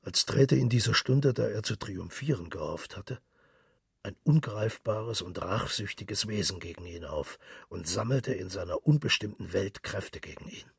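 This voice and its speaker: Husky, gravelly man narrating literary drama. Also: fairly low pitch, animated, normal speed, no room sound, clean studio sound. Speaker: narrator and reader of a literary story.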